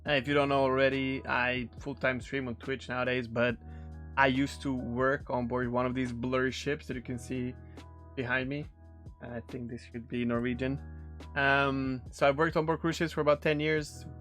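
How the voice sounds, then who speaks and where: A man's voice: mid-range voice, flat delivery, normal speed, a bit bright, faint music behind. A man in his thirties with a Serbian accent, a non-native English speaker from Serbia, casually rambling with friendly ums and restarts. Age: 30s. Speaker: streamer reacting to a video. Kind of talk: casual reaction commentary.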